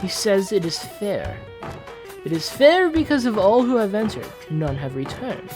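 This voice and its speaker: Casting: proud, entitled young prince played by a fan voice actor, formal fantasy narration, very expressive intonation, soft music underneath. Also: mid-range voice, a little slow. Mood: boastful, determined. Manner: theatrical, formal, dramatic. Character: Prince Johannes, proud, haughty, entitled eldest royal son on a quest. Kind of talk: dramatic fantasy journal narration, fan dub.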